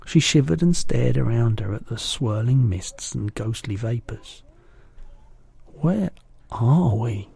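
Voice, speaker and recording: A man, a teacher, reading a children's book aloud in steady, low-key narration. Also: a little slow, creaky, hardly any pauses, breathy edge, no room sound.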